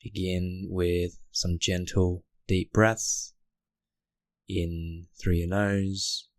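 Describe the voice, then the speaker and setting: A man guiding a meditation in a gentle, unhurried way, speaking in short phrases with long pauses. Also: sits low, level tone, no echo. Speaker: meditation guide. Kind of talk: guided meditation narration.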